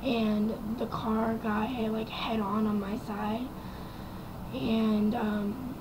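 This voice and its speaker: A young woman speaks to camera in a raspy, quiet voice, a little higher than most. She rambles through her story with frequent ums and likes. The home recording carries clear background noise. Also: flat delivery, takes it slowly. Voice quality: raspy and quiet from vocal cord damage. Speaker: vlogger. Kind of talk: casual personal story to camera.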